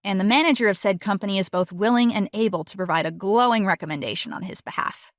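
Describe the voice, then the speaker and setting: A woman's voice: mid-range voice, fast talker, no echo, clean studio sound, natural voice. A woman playing a clever, smug teenage girl in a full-cast audiobook, with a wry and teasing way of talking. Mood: wry, knowing.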